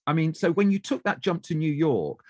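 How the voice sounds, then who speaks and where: A man's voice: fairly high pitch, animated, brisk, no room sound, clean studio sound. A man hosting a podcast interview, eager and given to stammering restarts as he asks questions. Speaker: podcast host. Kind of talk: casual interview chat.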